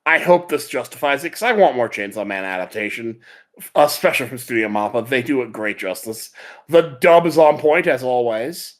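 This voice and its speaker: Male podcast host, mid pitch with very lively intonation, loose and enthusiastic fan chat. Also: normal speed. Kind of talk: casual chat about anime.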